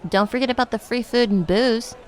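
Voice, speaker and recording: A woman's voice: fairly high pitch, brisk, a little hiss or hum, voice pushed up, close to an everyday voice. A woman voicing a greedy, cheeky dwarf adventurer who talks in sly, hesitant bursts.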